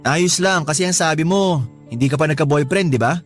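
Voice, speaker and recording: A young man voicing a smooth-talking, manipulative suitor in a radio love story. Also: fairly high pitch, a little fast, soft background music, natural voice. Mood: charming. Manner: charming at first, then demanding. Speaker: suitor Dennis. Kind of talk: radio drama acting.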